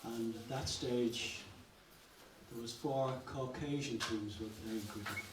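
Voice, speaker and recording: A man's voice: mid-range voice, slow. An older man giving an informal, joking and effusive guest speech at a cultural evening. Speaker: guest speaker. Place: function hall. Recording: roomy hall from a distance, background noise.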